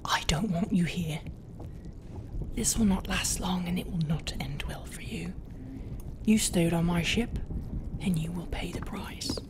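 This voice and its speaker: A woman's voice: lowish voice, unhurried, pauses often. Haughty pirate captain, acted by a woman, over noisy ambience. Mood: scornful, cold. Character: haughty, grudging female pirate captain with a bitter temper. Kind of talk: ASMR roleplay acting. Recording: clear ambient noise behind the voice.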